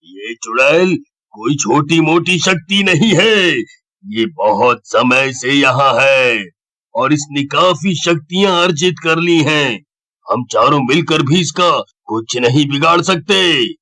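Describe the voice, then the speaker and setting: आदमी की आवाज़ में गंभीर, धीमा बोलने वाला समझदार तांत्रिक. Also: male voice, on the high side, pushed and bright. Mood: grave, cautioning. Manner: measured, deliberate, explaining. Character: grave, sensible male occult leader. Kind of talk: Hindi horror-cartoon voice acting.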